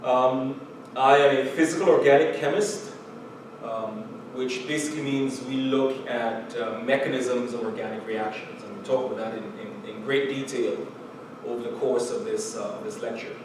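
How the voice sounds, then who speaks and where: A middle-aged man with a Trinidadian accent, giving a recorded chemistry lecture in a measured, earnest way, often pausing with "uh" and "um" and repeating words. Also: mid-range voice, steady tone. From Trinidad and Tobago. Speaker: chemistry professor. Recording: very echoey room from far off, background noise.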